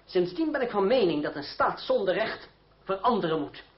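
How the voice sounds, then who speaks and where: An actor playing a proud, cool young gentleman fencing master in a radio play, speaking formally with measured irony. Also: sits high, big pitch swings. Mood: wounded, respectful. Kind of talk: Dutch radio play acting. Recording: dull, noisy old radio recording.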